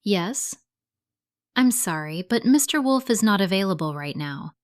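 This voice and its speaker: A woman's voice: medium pitch, lively intonation, a little slow, heavy background noise, lightly acted. An actor, a woman, playing a polite, guarded secretary answering for her boss in a mystery audio drama.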